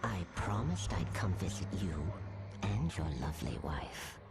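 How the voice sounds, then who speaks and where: An actor playing a sinister, menacing male villain in a video game, with drawn-out delivery and a gravelly voice. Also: deep voice, sing-song, exaggerated voice. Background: loud music.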